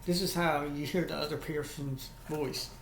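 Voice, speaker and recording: A man's voice: fairly high pitch, fairly even intonation, moderate pace. Southern man vlogging in an echoey room. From United States, Alabama. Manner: folksy, repetitive explaining. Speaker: video host. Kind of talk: casual vlog product show-and-tell. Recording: echoey room with faint background noise.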